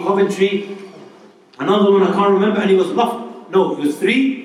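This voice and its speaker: A man with a fairly high voice gives a relaxed, conversational sermon, often asking his listeners questions. He is some way from the mic, and his words bounce around a large, very reverberant room. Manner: conversational, asks the audience rhetorical questions. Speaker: preacher. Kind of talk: informal Islamic talk to a live audience. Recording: very echoey hall, voice from far off.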